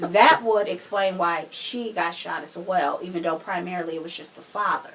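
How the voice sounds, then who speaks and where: A woman's voice: fairly low pitch, steady tone, normal speed, rough recording. A woman co-hosting a podcast, giving her opinions in casual discussion. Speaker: podcast co-host.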